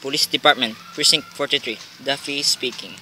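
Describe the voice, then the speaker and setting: A man's voice: medium pitch, brisk, sharp tone, rough recording. A man playing a laconic, unimpressed police desk officer in an amateur radio play, speaking tersely and routinely.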